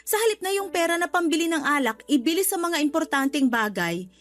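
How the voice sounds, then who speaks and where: A woman's voice: high-pitched, expressive, a little fast, a bit bright. A woman acting in a Tagalog radio drama as a married homeowner who nags and lectures her older brother. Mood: scolding, indignant. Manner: nagging, preachy, emphatic.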